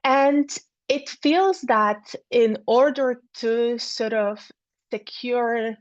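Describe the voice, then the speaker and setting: A woman giving an academic talk over a video call, halting often with fillers and repeated words. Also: fairly high pitch, no room sound. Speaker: academic speaker. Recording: dull lo-fi sound.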